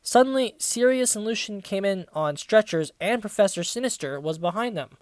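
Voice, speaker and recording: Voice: mid-range voice, expressive, normal speed, no echo. Sarcastic reader with a dry, close sound. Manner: sarcastic, profane asides. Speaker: reviewer reading fan fiction aloud. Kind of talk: dramatic read-aloud with mocking casual commentary.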